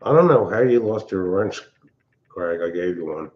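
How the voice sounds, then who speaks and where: An older man chats on a late-night livestream, his voice loud and pitched on the low side. He talks in a blunt, rambling, irritable way, speaking from a big open-plan house. The audio is dull and lo-fi. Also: expressive, normal speed. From Pennsylvania. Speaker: livestream host. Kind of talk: casual livestream chat.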